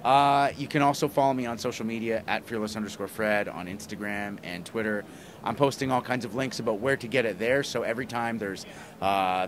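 Enthusiastic middle-aged man in a noisy interview. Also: fairly low pitch. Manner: enthusiastic, rambling, emphatic. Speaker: comic writer, podcaster and interview guest. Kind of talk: casual convention interview. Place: small plywood booth at a convention. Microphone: handheld microphone held by interviewer. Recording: clear background noise at convention.

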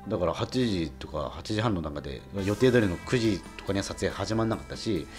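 Very animated Japanese radio man. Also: low voice, brisk. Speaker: radio host. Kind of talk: casual chat. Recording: music behind the talk. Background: background music.

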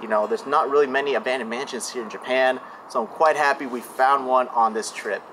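A young man vlogging casually as he walks through an abandoned mansion, chatty and excitable. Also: medium pitch, a little fast, a little hiss or hum, low-quality recording. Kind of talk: casual walkthrough vlog. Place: indoors in an abandoned house.

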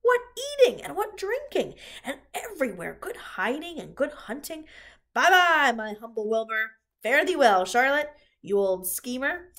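A woman voicing a brash, greedy male rat who sneers and boasts loudly in a read-aloud storybook. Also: high voice, big pitch swings, a little slow, pitched above the performer's own voice, exaggerated voice. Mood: sneering gleeful. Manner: loud, sneering.

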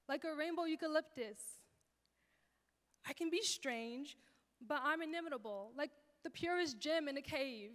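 Teen girl, high voice, hall reverb. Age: teens. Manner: earnest, rhythmic recitation. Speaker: teen performer. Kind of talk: spoken word performance. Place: church stage, large hall. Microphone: handheld mic.

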